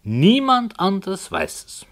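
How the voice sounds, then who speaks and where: Slow, deliberate storyteller. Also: male voice, sits high, very animated, a bit bright, heavily acted. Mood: insistent. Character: deliberate, authoritative writer narrating his dark tale. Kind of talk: 1960 German radio drama narration.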